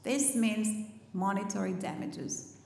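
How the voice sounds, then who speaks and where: A middle-aged woman gives a prepared conference speech on stage, speaking in a measured and emphatic way on a headset mic. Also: medium pitch, steady tone, gravelly, a little mellow. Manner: measured, emphatic, list-like delivery. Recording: echoey hall.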